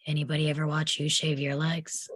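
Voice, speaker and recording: Actress as guarded young female patient, table read, deep for a woman, questioning. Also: little pitch movement, fairly quick. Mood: guarded, probing. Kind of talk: live script table read.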